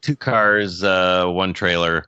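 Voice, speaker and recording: A man chatting casually on a podcast as a car shop owner, with a halting, easygoing way of talking. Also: low-pitched, fairly even intonation, pushed and bright, clean tone, no room sound. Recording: dull, muffled, close dry sound.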